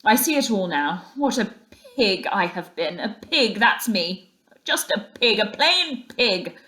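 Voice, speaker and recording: A woman voicing a hearty, loyal, bossy gentleman water rat in a storybook, with an emphatic, chummy manner. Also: medium pitch, sing-song, slow, full character voice. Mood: gloomy.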